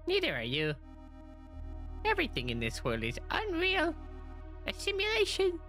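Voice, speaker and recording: Woman voicing a tiny lonely creature: very high, squeaky, gentle, wistful; light music under. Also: wildly swooping pitch, moderate pace, heavily acted.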